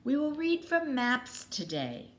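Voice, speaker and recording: A woman voicing a brave, calm schoolteacher in a children's story, giving firm, deliberate instructions. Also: high voice, voice pushed up, full character voice.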